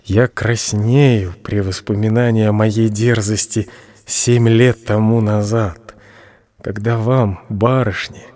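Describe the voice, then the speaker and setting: An actor playing a humble, hopelessly devoted poor admirer in an audio drama, speaking a formal letter with reverence. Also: male voice, lowish voice, darkish tone. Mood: reverent, pleading.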